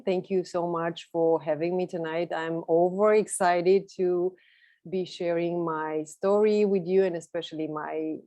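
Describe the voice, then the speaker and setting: A woman's voice: fairly low pitch, warm tone, dry recording. A woman, giving a chatty, enthusiastic personal talk as a guest speaker on an online video call. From Bulgaria. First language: Bulgarian. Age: 30s. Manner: chatty, enthusiastic, laughs lightly while talking.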